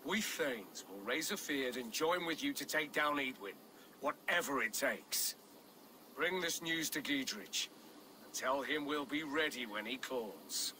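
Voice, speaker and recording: Fierce pious nobleman, mid-pitched, sharp, declamatory, medieval video game drama. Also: lively intonation, unhurried, slight background noise. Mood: resolute. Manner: declamatory, forceful.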